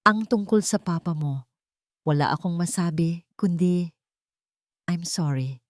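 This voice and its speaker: A woman's voice: fairly low pitch, unhurried, dry recording, clean studio sound, lightly acted. Earnest, emotional CEO hero, voiced by a woman. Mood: gentle apology. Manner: emotional, pleading, urgent. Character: earnest young male CEO, remorseful and protective romantic hero.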